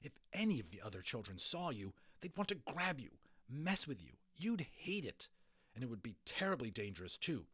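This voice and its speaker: A man's voice: medium pitch, very animated, normal speed, fairly bright tone, breathy edge, dry recording, clean studio sound, pitched above the performer's own voice. A man voicing an exasperated storybook schoolboy, speaking in an earnest, insistent way. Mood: urgent, reasoning. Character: exasperated schoolboy scolding and reasoning with tiny companions.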